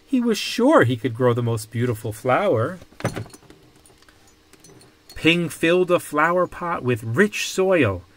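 A man reading a children's picture book aloud with deliberate, dramatic phrasing. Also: a little high, very animated, slight background noise. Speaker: storybook reader.

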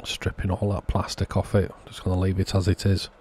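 A man's voice: low-pitched, monotone, brisk, a little mellow, slight background noise. A man narrating a hands-on repair walkthrough in a matter-of-fact, understated way, as the host of a repair channel.

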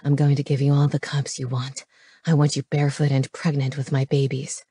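Possessive, tender romance hero, played by a woman: pitched well down, breathy growl, audiobook intimacy. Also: flat delivery, fairly quick, warm tone, no room sound, heavily acted. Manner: low, breathy, growling delivery. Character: possessive, tender male lover in paranormal romance.

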